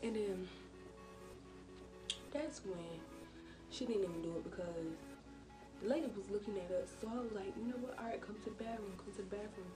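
A young woman with an American accent chats to camera in a bedroom, recounting a story casually. Her voice sits at an average pitch and she animatedly voices what others said. Hip hop music plays loudly behind her. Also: normal speed, long pauses, low-quality recording. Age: young adult. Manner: animated retelling with acted-out quotes. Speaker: vlogger. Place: small bedroom, indoors.

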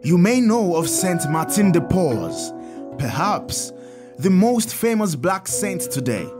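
An actor playing a kindly priest in an audio drama, telling saints' stories in a measured, expressive, instructive way. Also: male voice, high voice, music in the background, exaggerated voice. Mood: earnest, explanatory. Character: kindly priest, Father Tad, teaching children about saints.

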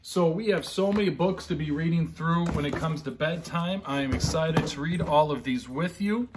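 A man's voice: on the high side, moderate pace, background noise. A middle-aged American man, a pastor chatting casually and reading children's bedtime stories expressively. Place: living room at home, indoors.

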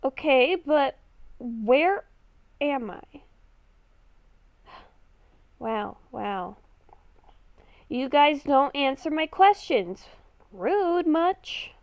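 High-pitched, slow-paced woman playing a game. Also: lively intonation, dry recording, muffled recording. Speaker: gamer playing a roleplay series. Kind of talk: improvised in-character game roleplay commentary.

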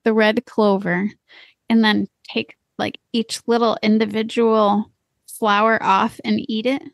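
A woman's voice: on the high side, level tone, unhurried, dry recording. A woman co-hosting a homesteading podcast, chatting casually and agreeing readily. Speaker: podcast co-host. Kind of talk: casual gardening chat.

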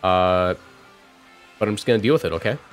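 A man in his twenties giving casual live game commentary, chatting and thinking out loud as he plays. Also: sits low, brisk, faint music behind. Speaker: streamer.

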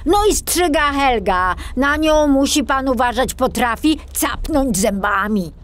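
A woman playing a jovial, teasing old male forester in a children's storybook, with a hearty, drawn-out delivery. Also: sits high, animated, voice pushed up. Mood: matter-of-fact. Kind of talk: children's storybook character acting.

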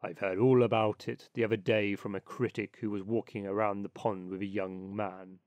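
Man voicing a low, pompous water rat. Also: level tone, normal speed, darkish tone, no echo, lightly acted. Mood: pompous, opinionated. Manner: pompous, know-it-all. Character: opinionated, self-satisfied male water rat.

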